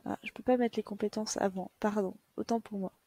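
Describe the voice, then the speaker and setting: A woman's voice: mid-range voice, fairly even intonation, brisk, mellow, husky, no echo. Woman, young adult, teacher, patient step-by-step tutorial, hesitant fillers, dead dry room. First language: French. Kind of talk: screen-share tutorial lesson.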